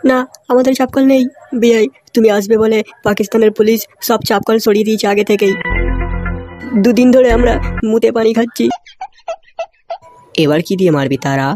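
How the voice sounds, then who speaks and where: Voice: mid-range voice, moderate pace, warm tone, lightly acted. An actor voicing a blustering, foul-mouthed villain father-in-law in a comedy cartoon, barking and repeating phrases. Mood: mocking. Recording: music behind the voice. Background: music.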